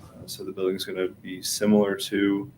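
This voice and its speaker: A man giving an informal project update at a meeting, with a hesitant, halting delivery. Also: sits low, completely flat delivery, darkish tone. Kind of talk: informal progress presentation. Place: indoor council meeting room. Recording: faint background noise.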